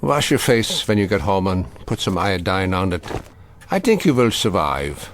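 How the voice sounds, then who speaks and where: A man's voice: low voice, very animated, normal speed, slight background noise. An actor playing a kindly, world-weary older man, wry and formal, in a radio drama. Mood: wry, caring. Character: kindly, world-weary older male mentor, gently chiding. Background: faint music.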